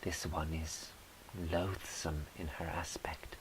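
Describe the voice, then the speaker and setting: A man reading poetry in a measured, deliberate, wry way, as a poet performing his own work. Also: a bass voice, level tone. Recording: faint background hiss.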